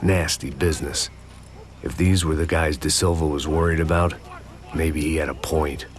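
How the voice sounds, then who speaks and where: An American man playing a weary, cynical middle-aged ex-cop antihero, narrating in a deadpan, hard-boiled noir style for a video game. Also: a bass voice, normal speed. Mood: grim, sardonic. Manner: deadpan, sardonic, world-weary. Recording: music playing behind the voice.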